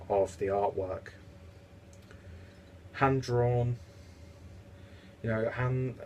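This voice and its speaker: A young man talks to his webcam from a home kitchen, his lowish voice stuffy and congested. He rambles on chattily with plenty of fillers. A faint hiss sits under the recording. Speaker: beer reviewer. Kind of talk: casual vlog review.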